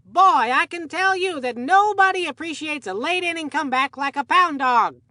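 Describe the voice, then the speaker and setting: High bright old grandpa baseball announcer, folksy n rambly, forgetful, cartoon game style. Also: lively intonation, moderate pace, no room sound, studio quality. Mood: rambling, folksy. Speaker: sports commentator in a children's baseball game.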